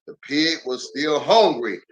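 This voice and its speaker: A man who is a pastor reading a children's story aloud in an animated, theatrical way with drawn-out emphasis. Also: fairly high pitch, rough recording.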